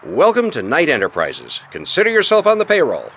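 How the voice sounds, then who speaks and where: A brisk, insistent business boss in a radio detective drama. His voice is sharp and swings widely in pitch. He is emphatic and sure of himself, over faint background noise. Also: huge pitch swings, exaggerated voice. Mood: insistent, businesslike. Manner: emphatic, businesslike, quick to object. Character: brisk, self-assured male restaurant tycoon, defensive and insistent.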